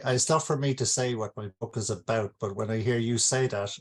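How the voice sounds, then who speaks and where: A man's voice: medium pitch, steady tone, fairly quick. An Irish man, an author, talking informally and reflectively to a gathering, explaining with some hesitation. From Ireland. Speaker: author hosting his book launch. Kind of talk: informal talk to an online gathering.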